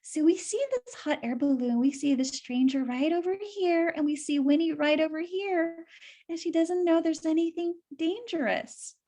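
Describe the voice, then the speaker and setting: A woman's voice: very high-pitched, normal speed, warm tone. A woman reading a story aloud for children, explaining it simply and putting questions to young listeners.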